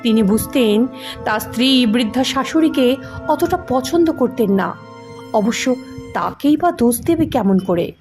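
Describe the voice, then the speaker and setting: A woman's voice: on the high side, lively intonation, a little slow, a little mellow. A woman reading a ghost story as dramatic scripted narration. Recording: music under the voice. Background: background music.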